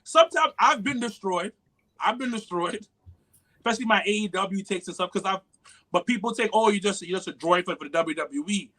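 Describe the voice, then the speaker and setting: A loud, animated man from New York with a New York accent, his voice high and bright. He talks casually and profanely as a podcast guest, laughing at his own takes. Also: a little fast. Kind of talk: casual chat.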